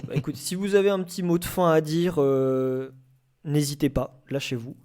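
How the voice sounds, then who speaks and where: Mellow, halting male podcast host, slightly high. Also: little pitch movement. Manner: hesitant, halting phrasing. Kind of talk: casual live debate hosting.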